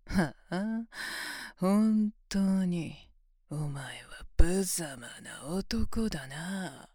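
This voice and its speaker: A woman's voice: low voice, slow, darkish tone, breathy edge, dry recording, full character voice. A woman voicing a domineering, mocking female examiner in an ASMR roleplay, taunting and commanding in a close whisper. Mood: scornful. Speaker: voice actor in an audio roleplay.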